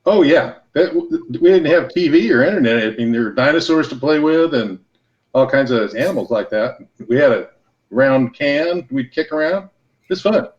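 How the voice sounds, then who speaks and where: A man's voice: medium pitch, normal speed. Podcast guest, male, laid-back storyteller, slightly muffled lo-fi sound. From Los Angeles and Hawaii. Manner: relaxed, storytelling, advice-giving. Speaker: guest entrepreneur. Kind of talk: casual podcast conversation.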